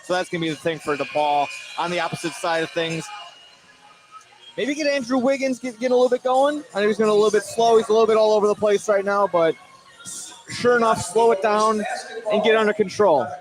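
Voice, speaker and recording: High-voiced male basketball analyst. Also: brisk, over music, low-quality recording. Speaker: color commentator. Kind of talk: informal live basketball analysis.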